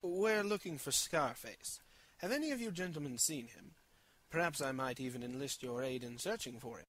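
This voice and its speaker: A man playing a mild, unhinged villain with formal, courteous, deliberate manners in a fan-dub drama. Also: mid-range voice, lively intonation, a bit bright, rough recording. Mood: courteous, chiding.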